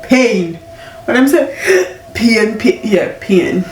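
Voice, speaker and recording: A woman's voice: a little high, lively intonation, slow, a little mellow, a little hiss or hum. A young woman vlogging casually about her pregnancy, candid and chatty, with a congested, stuffy nose. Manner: candid, chatty, laughing often. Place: home. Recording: echoey room, mic at a distance.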